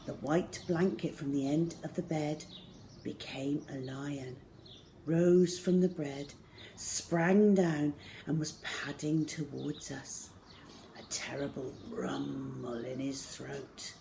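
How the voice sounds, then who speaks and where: A middle-aged woman reading a children's novel aloud to schoolchildren in a measured, deliberate way. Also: low-pitched, fairly even intonation, creaky, background noise. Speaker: storyteller for schoolchildren. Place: small plain room indoors.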